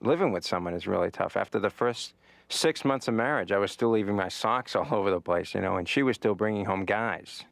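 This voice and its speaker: An actor playing a deadpan patient who tells jokes to his therapist in a cartoon. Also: fairly low pitch, a little fast, vocal fry, dry recording. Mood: dry. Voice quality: flat, deadpan delivery. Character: male adult patient, deadpan and self-mocking.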